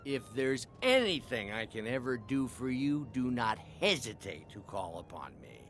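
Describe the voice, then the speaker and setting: Theatrical, wildly swooping drunken reveler. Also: male voice, mid-range voice, big pitch swings, moderate pace, a bit bright. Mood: effusive, grateful. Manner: grandiloquent, theatrical, mocking. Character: boisterous theatrical drunk man, flattering and sardonic. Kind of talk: video game character acting. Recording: game music behind the voice.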